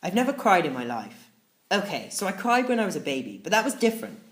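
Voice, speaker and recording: Low-voiced, animated woman storyteller. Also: fast. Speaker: audiobook narrator. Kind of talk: expressive first-person children's story narration.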